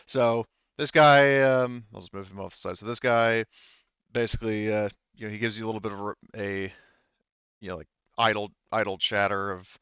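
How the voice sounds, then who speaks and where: A man narrating a tabletop game as game master in a casual, improvised way, rambling and halting. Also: fairly low pitch, fairly even intonation, fairly bright tone, clear, resonant tone, no echo, highs cut off.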